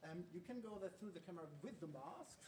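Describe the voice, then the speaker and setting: A man's voice: high-pitched, lively intonation, quick pace, a little mellow, husky. A man hosting a live event, making casual off-the-cuff remarks in a playful, wry way and stumbling over his words. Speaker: event host. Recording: live event with audience noise. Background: faint crowd.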